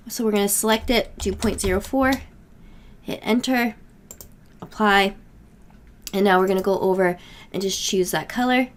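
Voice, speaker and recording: A woman in her thirties, a craft tutorial host getting over a cold, explaining software steps in a casual, step-by-step way at her desk. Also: fairly high pitch. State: voice worn from a cold. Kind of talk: screen-recorded software tutorial.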